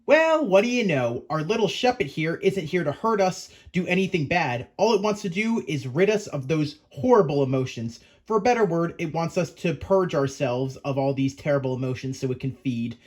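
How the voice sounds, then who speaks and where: A man's voice: fairly high pitch, lively intonation, normal speed, hardly any pauses. A man hosting a scripted creature-lore video, narrating in a chatty, theatrical storytelling manner that speaks straight to the listener.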